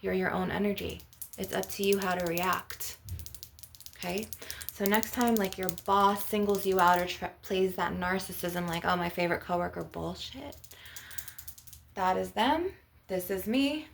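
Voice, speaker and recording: A woman's voice: mid-range voice, unhurried, gravelly, a little hiss or hum. A woman hosting an ASMR spiritual healing session, speaking to the viewer in an earnest, affirming, instructive way. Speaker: spiritual healer and channel host.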